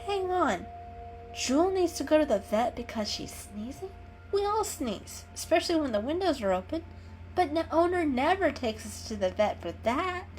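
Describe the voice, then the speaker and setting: A woman's voice: high-pitched, big pitch swings, moderate pace, darkish tone, faint music behind, voice pushed up, low-quality recording. A woman voicing a skeptical but kindly female cat sidekick in a storybook reading, speaking emphatically. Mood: doubtful, exasperated.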